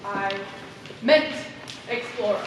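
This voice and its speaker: A woman's voice: mid-range voice, slow pace, lightly acted. A young woman on stage playing a stern, officious committee president with a formal, pompous manner. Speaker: stage actor. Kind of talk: live stage play acting. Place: theatre stage, recorded from far off. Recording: echoey theatre, distant mic, noisy.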